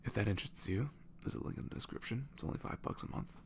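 A man speaking softly and casually as he introduces his ASMR audio roleplay. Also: fairly low pitch, quick pace, vocal fry, slight background noise.

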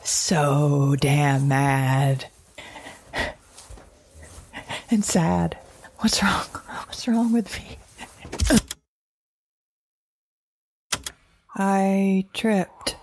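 A woman's voice: deep voice, flat delivery, drawn-out words, darkish tone, a little hiss or hum, exaggerated voice. An actress playing an anxious but defiant mother surviving a wild world in an audio drama monologue, fretful and questioning herself in broken phrases. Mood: angry, shaken. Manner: fretful, self-questioning, fragmented, repeating herself. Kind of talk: audio drama monologue, recorded-journal narration.

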